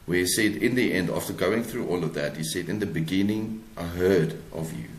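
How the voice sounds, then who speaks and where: A man with a South African accent talking reflectively as an interview guest, haltingly, repeating words as he searches for his phrasing. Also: sits low. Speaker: guest author. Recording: roomy, echoing sound.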